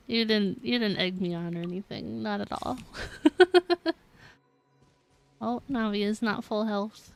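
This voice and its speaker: A woman's voice: medium pitch, lively intonation, slow, vocal fry, long pauses, a little mellow. A woman chatting casually and playfully on a gaming livestream, giggly and given to exclamations. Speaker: game live streamer.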